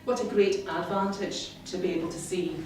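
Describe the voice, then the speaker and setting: A very reverberant, noisy recording of a middle-aged woman giving a prepared speech. Her delivery is measured, wry and self-deprecating, picked up from some way off in the room. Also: fairly low pitch, fairly even intonation, darkish tone. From Scotland, born in the Highlands. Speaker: club member giving a first speech. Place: indoor meeting room, in front of an audience. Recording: very echoey room, distant mic, clearly noisy.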